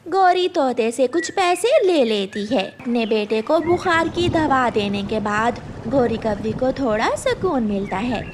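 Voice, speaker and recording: Woman narrating a kids cartoon tale, unhurried, lots of up and down, noisy background. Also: fairly high pitch, lively intonation. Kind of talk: scripted children's story narration.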